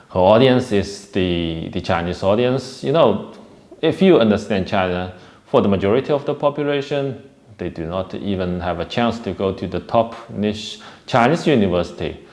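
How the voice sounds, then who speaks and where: A man's voice: fairly low pitch, darkish tone. A man hosting a casual video commentary, talking in a rambling, hesitant way. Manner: rambling and hesitant, with frequent restarts. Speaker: host.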